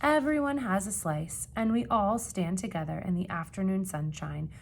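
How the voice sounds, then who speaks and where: A woman reading a children's picture book aloud like a teacher, with expressive emphasis and questions put to young listeners. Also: mid-range voice, gravelly, slight background noise. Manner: expressive, dramatic gasps and emphasis, pausing to ask questions.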